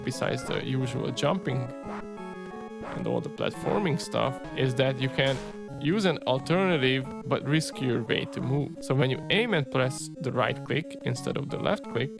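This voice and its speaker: Hungarian guy in his 30s, gravelly voice, laid-back devlog chat, lots of "right?" and "you know". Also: medium pitch. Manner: relaxed and chatty, peppers speech with "right?" and "you know". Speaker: game developer and channel host. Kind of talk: casual devlog narration over gameplay.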